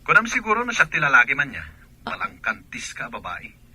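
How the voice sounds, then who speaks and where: A man's voice: medium pitch, wildly swooping pitch, fairly quick, pushed and bright, husky, exaggerated voice. A man playing a jealous, accusing soldier husband in a radio drama, with a Filipino accent. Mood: angry, accusing.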